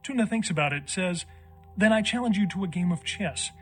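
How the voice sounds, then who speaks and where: A man's voice: high voice, animated, a little fast, faint music behind. A man playing a quirky, dry, rambling joke-teller in a video game. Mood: droll.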